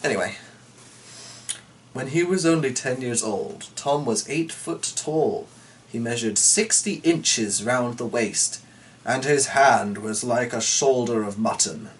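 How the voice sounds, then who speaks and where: A man giving a dramatic storybook reading, breaking off into chatty, irreverent, sweary asides. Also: medium pitch. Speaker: storyteller reading a folk tale aloud. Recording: distant mic with faint background noise.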